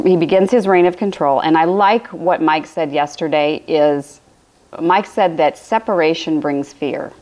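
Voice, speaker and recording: A woman from Illinois with a raspy, cracking voice, giving a rambling, repetitive spiritual talk on a live stream at home. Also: fairly low pitch, warm tone, low-quality recording. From Illinois, USA. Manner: rambling, repetitive phrasing with frequent restarts. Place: home room with a fireplace, indoors.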